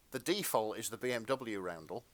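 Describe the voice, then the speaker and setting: A man co-hosting a podcast, chatting casually with a slightly hesitant, halting delivery. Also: on the low side, lively intonation. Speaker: podcast co-host. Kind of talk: casual chat.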